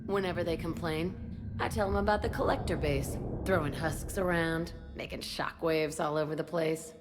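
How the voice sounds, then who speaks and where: An actress playing a tough, foul-mouthed, fiercely protective young combat instructor in a video game, brash and sardonic. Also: female voice, deep voice, normal speed, over music, natural voice. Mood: brash, confiding. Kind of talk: video game dialogue acting.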